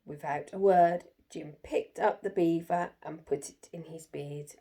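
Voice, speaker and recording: A woman's voice: sits low, unhurried, mellow. A woman storyteller reading a children's picture book aloud with expression.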